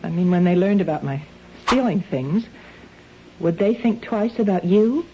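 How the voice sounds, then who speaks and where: An actress playing an anxious, guilt-ridden wife in an old-time radio drama, earnest, uneasy and defensive. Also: female voice, on the low side, normal speed, close to an everyday voice. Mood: anxious, guilty. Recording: dull, faintly noisy old radio recording.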